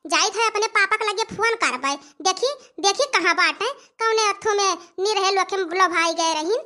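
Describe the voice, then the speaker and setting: A woman's voice: very high voice, normal speed, pushed and bright. A young woman with an Awadhi accent playing an overworked, complaining village daughter-in-law in a comic cartoon, repeating her phrases for emphasis. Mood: grumbling.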